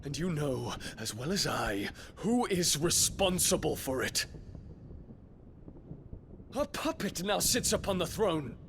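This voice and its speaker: A man's voice: a little high, very animated, takes it slowly, pauses often, background noise, exaggerated voice. An actor playing a grave, noble young prince in a video game, speaking formally and solemnly as he confronts his emperor father. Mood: impassioned pleading. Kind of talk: dramatic video game voice acting.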